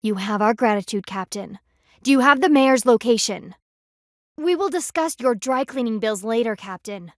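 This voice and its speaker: A woman's voice: fairly high pitch, lively intonation, normal speed, dry recording, clean studio sound, lightly acted. A woman voicing a stern, proud panda warrior in a video game, with a formal, commanding, clipped manner. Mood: stern, businesslike. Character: stern, proud anthropomorphic panda woman, loyal military guardian.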